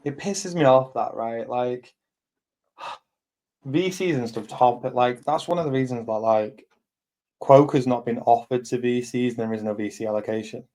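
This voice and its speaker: A man's voice: medium pitch, moderate pace, a little mellow. A man in his thirties, hosting a live community chat as a company head, talking bluntly and rambling with lots of fillers and swearing. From England. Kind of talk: casual chat.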